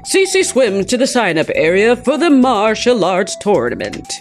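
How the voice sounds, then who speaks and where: A woman reading a visual novel aloud in an expressive, playful way with goofy asides. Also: mid-range voice, measured pace, soft background music. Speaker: let's play host.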